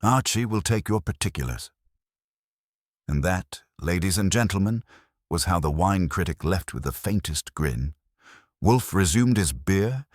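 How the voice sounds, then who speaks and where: A man with an extremely low voice narrates a detective story. His delivery is wry and dramatic, swinging widely in pitch. The voice has a husky grain. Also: no room sound, clean studio sound. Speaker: audiobook narrator. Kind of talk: scripted first-person detective story narration.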